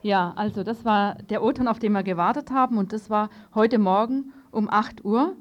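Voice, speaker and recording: A woman's voice: mid-range voice, normal speed, a little hiss or hum. A woman presenting news live from a radio studio. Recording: dull lo-fi 1980s radio tape.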